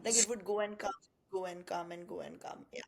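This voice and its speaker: Young woman from india, podcast co-host, reflective, rambling, mid-pitched voice. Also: fairly even intonation, brisk. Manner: reflective, rambling, filler-heavy. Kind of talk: casual chat.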